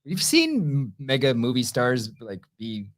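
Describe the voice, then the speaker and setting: A man's voice: medium pitch, huge pitch swings. A man co-hosting a review show, chatting casually with enthusiasm and emphasis. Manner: enthusiastic, emphatic, quick to banter. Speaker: co-host of an entertainment review show.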